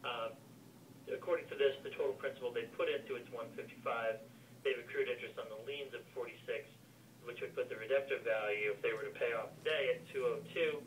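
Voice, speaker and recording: A man with a medium-pitched voice walks buyers through an investment in an explanatory way. He sounds set back from the mic on a phone call, with clear background noise. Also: fairly even intonation, quick pace, distant mic. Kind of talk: explanatory business phone conversation.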